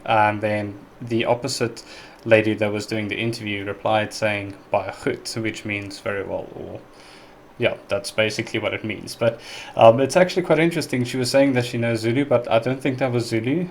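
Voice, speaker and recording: A man's voice: lowish voice, normal speed, slight background noise. A man from South Africa whose first language is Afrikaans, speaking English with a South African accent as he hosts a chatty reaction video. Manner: chatty and relaxed, with frequent ums. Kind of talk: casual reaction commentary.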